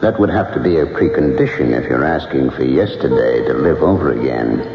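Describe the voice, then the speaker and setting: Very deep, sardonic otherworldly tempter. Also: male voice, expressive, fairly quick, gravelly, full character voice. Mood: coolly sardonic. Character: sardonic, otherworldly bargainer, coolly taunting a dying man. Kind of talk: radio drama acting. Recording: muffled radio, reverberant, loud music behind.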